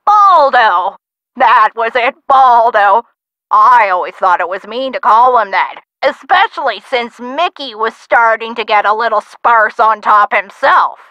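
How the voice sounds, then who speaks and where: A feisty old gangster's sweetheart from a video game, chatty and theatrical. Her voice is fairly high and bright, with lively swoops in pitch. The audio is dry and a little dull. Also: a little slow, dry recording. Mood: spirited, affectionate. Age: older. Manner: theatrical, folksy old-time slang. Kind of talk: video game character dialogue.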